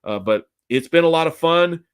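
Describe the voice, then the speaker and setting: A man's voice: on the high side, lively intonation, normal speed, clear, resonant tone. A man hosting a solo sports talk podcast, opinionated and ranting, his voice strained and nearly gone.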